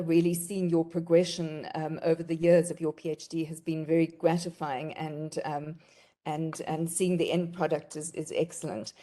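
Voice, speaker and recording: A woman, a professor examining a thesis over a remote video call, courteous and gently probing. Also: low-pitched. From South Africa. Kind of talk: formal academic questioning.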